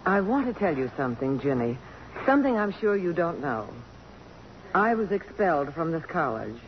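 A woman's voice: low voice, big pitch swings, moderate pace. An actress playing a self-possessed, principled middle-aged woman, earnest and articulate, in 1950s radio drama style. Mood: gentle, resolute. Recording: dull, faintly noisy old radio recording.

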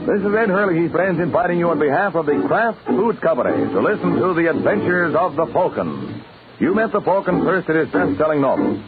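Animated old-time radio announcer, tinny and noisy. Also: male voice, fairly high pitch, brisk, loud music behind the voice. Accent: American. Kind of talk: 1950s radio announcing and ad reading.

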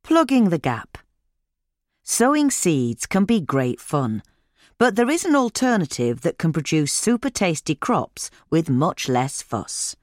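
A woman's voice: lowish voice, very animated, unhurried, darkish tone, no room sound, clean studio sound. A British woman reading a scripted magazine article as a narrator.

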